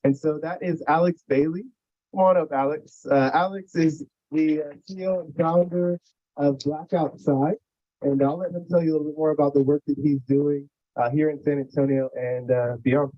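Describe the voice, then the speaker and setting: A man's voice: on the high side, fairly even intonation, normal speed, no echo, dull audio. A man hosting a conference, introducing the next keynote speaker in a casual, friendly way with many fillers. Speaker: event host introducing speakers.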